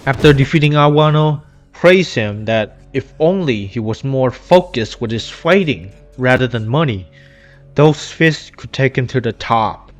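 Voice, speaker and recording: Man narrating over faint music. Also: mid-range voice. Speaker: video narrator. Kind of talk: scripted game story retrospective narration. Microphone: amateur inexpensive microphone voice-over.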